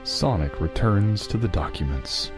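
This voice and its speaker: A man's voice: on the low side, expressive, a little slow, music in the background. A man working as the narrator, reading scripted fan fiction.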